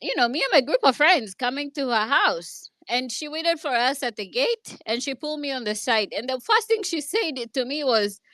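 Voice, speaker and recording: A woman's voice: high voice, sing-song, moderate pace, hardly any pauses, fairly bright tone, no echo. A woman with a Kenyan accent telling a personal story in an online discussion, earnest and hesitant, with many restarts. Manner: earnest, speaks from her own experience. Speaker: speaker in an online audio discussion.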